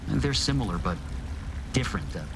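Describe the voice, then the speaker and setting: A man playing an earnest, dutiful young soldier, a colonel's son, in an animated sci-fi drama. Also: fairly low pitch, normal speed, noisy background. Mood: earnest, wary. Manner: hesitant, earnest.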